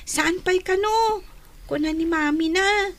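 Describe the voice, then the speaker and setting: A woman playing a lively little girl in a radio drama, with a Filipino accent. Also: very high-pitched, slow, exaggerated voice. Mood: chatty, pleading.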